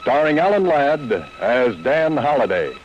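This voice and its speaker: Theatrical American radio announcer, slow, swooping. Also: male voice, a little high, big pitch swings. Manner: grand, theatrical. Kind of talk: 1940s radio announcing, dramatic. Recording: dull old radio recording, loud music.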